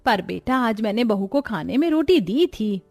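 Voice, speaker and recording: A woman's voice: a little high, lively intonation, moderate pace, gravelly, a little mellow, no room sound, soft background music. A woman voicing a stingy, scolding middle-aged mother-in-law in a cartoon story, with a bossy, nagging manner. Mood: defensive, pleading. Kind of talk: Hindi cartoon story voice acting.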